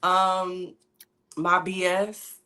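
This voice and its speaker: A woman, fairly low voice, telling her story in a loose, candid way with some slang, sounding frank and conversational. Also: little pitch movement, extremely slow, sharp tone. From Michigan, USA. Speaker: guest, singer-songwriter. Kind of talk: casual interview chat.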